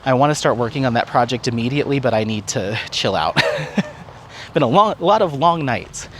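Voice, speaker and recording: A man's voice: mid-range voice, expressive, moderate pace, a bit bright, slight background noise. A man chatting casually and conversationally in a rambling, upbeat walk-and-talk vlog update, recorded outdoors. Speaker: craft vlogger and content creator.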